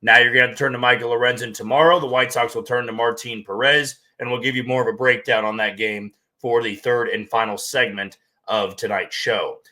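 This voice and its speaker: Man, hoarse and losing his voice, sports podcast host, bright forward tone, frank commentary. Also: mid-range voice, fairly even intonation, brisk, hardly any pauses. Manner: talks straight through with frank, plain opinions.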